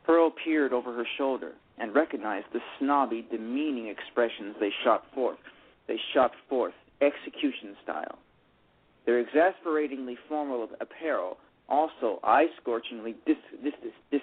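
A man's voice: mid-range voice, normal speed, slightly rough. A man narrating a story, reading aloud and often stumbling over and repeating words. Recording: tinny phone line with faint music.

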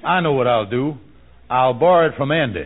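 A man's voice: medium pitch, expressive, a little fast, lightly acted. An actor playing a scheming small-time con man in an old American radio comedy, blustering and hemming and hawing. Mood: urgent, wheedling. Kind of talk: 1940s-50s American radio comedy acting. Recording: tinny, band-limited old radio recording.

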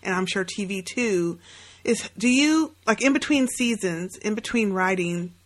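A woman's voice: medium pitch, expressive, measured pace. A woman co-hosting a podcast interview in casual chat, blunt and informal, with salty language.

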